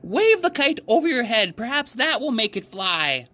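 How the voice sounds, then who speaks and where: A man's voice: very high voice, big pitch swings, normal speed, bright, forceful tone, voice pushed up, full character voice. A man voicing an eager, encouraging frog in a storybook, upbeat and coaxing. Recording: clearly noisy background.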